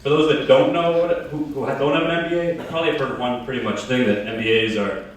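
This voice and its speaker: A man's voice: mid-range voice, fast, far from the mic. Joking man telling stories in an echoey hall. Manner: casual, joking storyteller addressing an audience. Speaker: keynote speaker. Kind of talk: live keynote talk. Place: large conference hall. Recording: very echoey hall, heard from afar.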